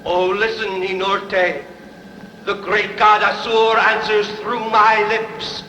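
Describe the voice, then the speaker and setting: A solemn oracle priest delivering a god's prophecy. His high, slow, declamatory voice rings out in a long echoing reverb. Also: level tone, bright, forceful tone, heavily acted. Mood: solemn, prophetic. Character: oracle priest speaking for a great god. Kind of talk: dubbed historical epic film acting. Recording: echoing hall reverb, faint noise.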